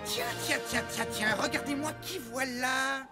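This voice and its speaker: A man's voice: very high-pitched, a little slow, over music. A man voicing a smug young male pony bully in a cartoon dub, sneering and taunting.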